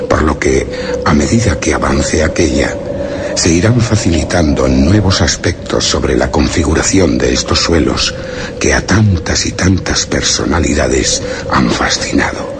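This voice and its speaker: A man playing a grave expedition leader, narrating a radio drama in a solemn, formal, measured literary manner. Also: a bass voice, creaky, very noisy, heavily acted. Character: grave male expedition leader recounting a mysterious journey.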